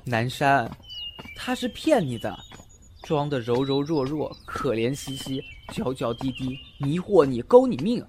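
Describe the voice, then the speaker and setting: A man playing a feisty, vain, jealous young male fox spirit in a Chinese audio drama, bratty and excitable. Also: medium pitch, measured pace, noisy background. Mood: pleading, jealous.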